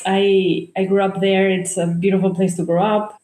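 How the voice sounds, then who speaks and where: A woman's voice: mid-range voice, little pitch movement, moderate pace, mellow. A woman from Mallorca, a guest on a podcast interview, telling her story reflectively with laughs. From Mallorca, Spain. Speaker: guest, home builder. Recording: echoey room.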